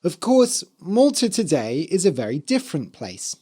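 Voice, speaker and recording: A podcast host and English teacher with a slightly hoarse, dry voice, explaining things clearly and carefully for language learners. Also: mid-range voice, big pitch swings, normal speed, warm tone. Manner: careful enunciation, spelling words out letter by letter.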